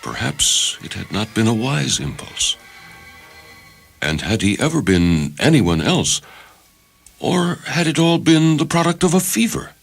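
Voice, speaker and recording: A man's voice: mid-range voice, very animated, unhurried, vocal fry, breathy edge. A man reading a short story as dramatic scripted narration. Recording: music under the voice. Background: background music.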